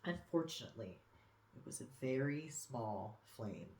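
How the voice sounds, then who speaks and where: A storyteller reading a picture book aloud expressively for children, with dramatic pauses and cooing asides. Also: medium pitch, expressive, a little hiss or hum. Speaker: storybook reader. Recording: echoey room.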